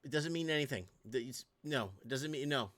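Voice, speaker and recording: A man's voice: medium pitch, expressive, a little fast, very noisy. A man hosting a reaction show, commenting on viral clips in an indignant, rambling rant full of restarts. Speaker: host.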